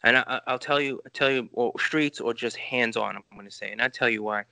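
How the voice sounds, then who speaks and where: A man's voice: medium pitch, a little fast, vocal fry, pushed and bright, clean tone, no echo. A middle-aged man with a New York accent, a filmmaker rambling through interview answers with many restarts and "you know" fillers. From New York City. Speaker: filmmaker guest.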